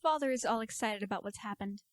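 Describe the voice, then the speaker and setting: A woman playing an anxious, excitable scientist's daughter in an amateur radio play, theatrical and expressive. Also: medium pitch, fast, no room sound, clean studio sound, close to an everyday voice. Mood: anxious, polite.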